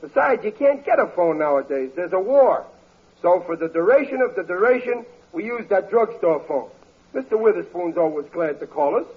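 A man's voice: high voice, big pitch swings, moderate pace, husky, heavily acted. An actor playing a sarcastic, grumbling working-class father with folksy, ungrammatical talk in a 1940s radio comedy. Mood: exasperated, sarcastic. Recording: very muffled old radio broadcast.

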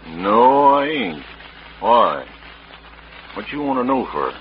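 Wary frontier sheriff, lively swoops. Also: male voice, medium pitch, takes it slowly, slight background noise. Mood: guarded. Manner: folksy, guarded. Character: wary, plainspoken small-town frontier sheriff. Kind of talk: old-time radio western acting. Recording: muffled, humming vintage radio recording.

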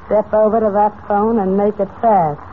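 A woman's voice: mid-range voice, level tone, moderate pace, lightly acted. Old crackly narrowband radio show, woman doing a tough young lady thief, bossy and defiant. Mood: commanding. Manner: tough, mocking, commanding. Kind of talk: 1940s radio serial acting. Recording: tinny old radio recording, light noise.

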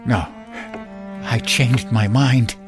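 A man playing an uneasy, stubborn old father and former soldier in an audio drama, halting and defensive. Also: mid-range voice, steady tone, over music. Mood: shaken, defensive.

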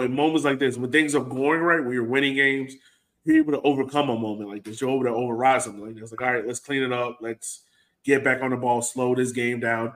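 Man, medium pitch, podcast host, casual sports chat, conversational, filler-heavy phrasing. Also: fairly bright tone. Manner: conversational, heavy use of "like" and "you know". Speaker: soccer fan podcast host. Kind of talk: casual sports talk, breaking down a match.

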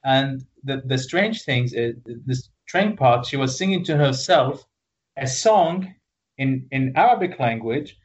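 Halting man from Iraq, lo-fi call. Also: mid-range voice, lively intonation, slight background noise. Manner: halting, with frequent restarts and laughs. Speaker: guest. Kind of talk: casual remote interview.

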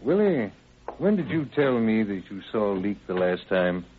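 Low-voiced methodical radio sheriff. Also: male voice, sing-song, a little hiss or hum. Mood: probing. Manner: measured questioning, folksy "well" openings. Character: steady, methodical male county sheriff. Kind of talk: 1940s-50s radio crime drama acting. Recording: tinny band-limited old radio recording.

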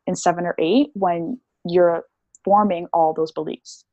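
A woman's voice: fairly low pitch, fairly even intonation, a little slow, a little mellow, no echo, muffled recording. A young woman talking as a podcast guest about her healing practice, chatty and casual, with many fillers. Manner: chatty, explains with lots of "like" and "um".

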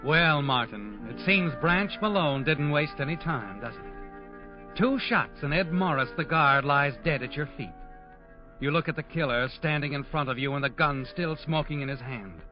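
Guy acting old radio crime narrator man, slightly high voice, knowing and taunting, muffled w/ loud music behind. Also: expressive, close to an everyday voice. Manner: measured, knowing, taunting second-person address. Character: omniscient taunting male narrator of crime tales. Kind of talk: 1940s radio drama narration.

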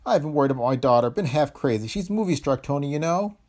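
A man's voice: a little high, lively intonation, fast, darkish tone, voice pushed up. A man voicing a plump, miserable middle-aged studio employee in an old science fiction story, pleading and choked with guilt. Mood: miserable, apologetic. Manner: pleading, self-blaming.

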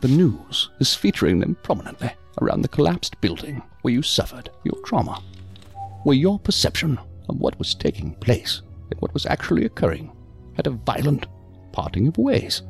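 An actor playing a calm, evasive male psychiatrist in an audio drama, speaking in a measured, formal, unctuous manner. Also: mid-range voice, gravelly, faint music behind. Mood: evasive, controlling.

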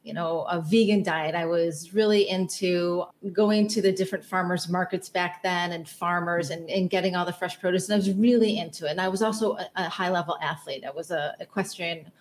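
A woman's voice: fairly low pitch, steady tone, a little fast, few pauses. A woman in her forties, from the Chicago area, talking openly about her own story as an interview guest. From North Shore of Chicago. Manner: open, talkative, storytelling. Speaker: health coach guest. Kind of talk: casual interview.

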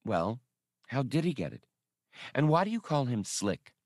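A man voicing a feisty, indignant woman in a space adventure audiobook, with a sharp, challenging manner. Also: medium pitch, very animated, normal speed, no echo, clean studio sound, voice pushed up. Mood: curious.

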